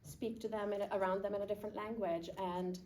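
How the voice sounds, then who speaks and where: A woman's voice: medium pitch, flat delivery, fast, warm tone. A middle-aged woman from Pakistan, moderating a panel Q&A and asking her question thoughtfully in long winding sentences. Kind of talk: audience Q&A at a live panel. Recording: distant mic with faint room noise.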